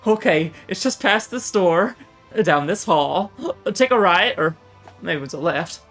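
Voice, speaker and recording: A woman's voice: fairly low pitch, lively intonation, unhurried, pitched below the performer's own voice. Earnest, rambling game buddy, male and a bit dim woman performing, faint music underneath. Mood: unsure, earnest. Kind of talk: voiced reading of comedy game dialogue.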